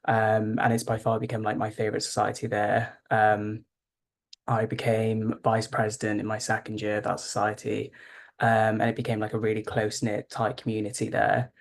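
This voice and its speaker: Guy, young student, low gravelly monotone, lots of ums. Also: normal speed. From Wales. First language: Welsh. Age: young adult. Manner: casual, many fillers. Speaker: student ambassador. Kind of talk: webinar panel answer.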